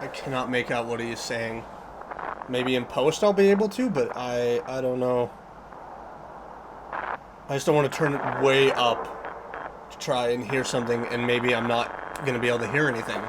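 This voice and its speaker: A man's voice: medium pitch, moderate pace, pauses often, heavy background noise. A man giving casual reaction commentary as a video host, jittery and often repeating himself.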